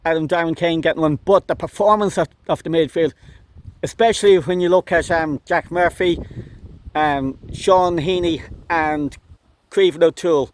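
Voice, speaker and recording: A man's voice: fairly high pitch, moderate pace. A man with an Irish accent interviewing after a football match, asking questions with frequent hesitations. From Ireland. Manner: hesitant, many "um"s. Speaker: club interviewer.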